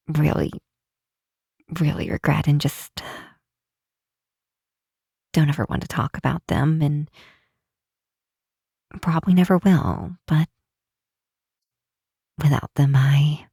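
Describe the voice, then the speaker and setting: A woman playing a caring, teasing young best friend in an ASMR roleplay, speaking gently and hesitantly with soft pauses. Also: low-pitched, vocal fry, breathy edge, dry recording, clean studio sound, full character voice. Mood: wistful, gentle.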